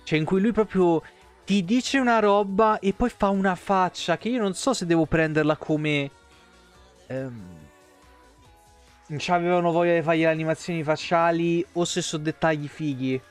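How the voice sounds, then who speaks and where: A man's voice: fairly high pitch, moderate pace, soft background music. A man in his thirties with a Roman Italian accent, chatting casually as a game streamer, rambling and swearing freely into a close desk microphone. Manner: profane, rambling, expressive. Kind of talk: casual chatty livestream commentary. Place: small room at home.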